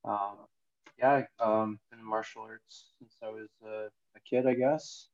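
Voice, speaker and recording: A man's voice: lowish voice, fairly even intonation, unhurried, gravelly, darkish tone, lo-fi sound. A man in his forties from Ontario, Canada, talking casually as an interview guest and hesitating with many fillers. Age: 40s. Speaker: guest, jiu-jitsu student.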